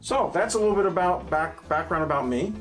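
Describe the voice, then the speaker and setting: A man's voice: on the high side, animated, a little fast, faint music behind. A middle-aged man from New York with a New York accent, chatting as an interview guest and cracking playful jokes to the audience. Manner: joking, playful asides to an imagined audience. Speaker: guest, accountant. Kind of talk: casual interview chat.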